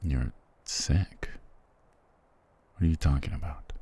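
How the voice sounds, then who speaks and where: A man playing a cruel, proud, weary soldier prince in an ASMR fantasy roleplay, curt and condescending, with a gravelly, husky voice. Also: rumbling low, takes it slowly, mellow, heavily acted. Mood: cold, scornful. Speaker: voice actor in audio roleplay.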